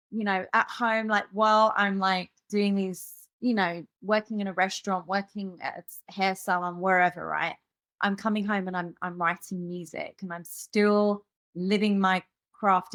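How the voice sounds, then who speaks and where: A woman's voice: mid-range voice, a bit bright, no echo. A woman from the United Kingdom, a musician guest in an interview, telling her personal story at length, rambling with many fillers and restarts.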